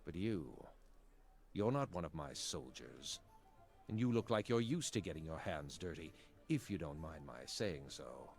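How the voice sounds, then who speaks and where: A man's voice: extremely low pitch, very animated, a little slow, gravelly, soft background music, exaggerated voice. An actor playing an anxious, haughty middle-aged nobleman and father in a fantasy game, with formal, indignant speech. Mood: bitter, urgent.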